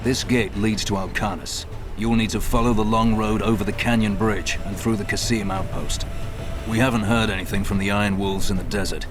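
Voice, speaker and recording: A man's voice: on the low side, steady tone, brisk, background noise, close to an everyday voice. An actor voicing a plain-spoken male gate guard giving directions, in game audio with ambience behind. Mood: instructive. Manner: plain, instructive.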